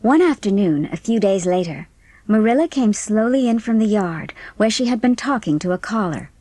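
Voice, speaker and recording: Woman narrator, mid-pitched, dry studio sound. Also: expressive, moderate pace, warm tone, dry recording. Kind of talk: scripted audiobook narration.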